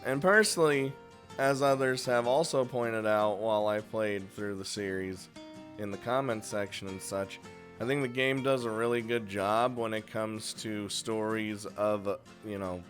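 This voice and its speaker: A man's voice: medium pitch, unhurried, a bit bright, soft background music. A man hosting a casual, unscripted countdown of games, chatty and crude, swearing freely. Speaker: video host and game reviewer.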